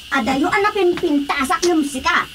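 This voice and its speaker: Quarrelsome village woman, extremely high shrill voice, taunting, radio drama, loud noise. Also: moderate pace. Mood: boastful taunting. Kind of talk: Ilocano radio drama comedy acting.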